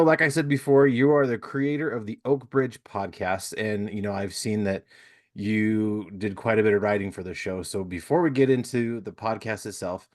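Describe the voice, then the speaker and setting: Male podcast host, lowish voice, dry close sound, easygoing and supportive. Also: no room sound. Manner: friendly, encouraging, laughs easily. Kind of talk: casual interview chat.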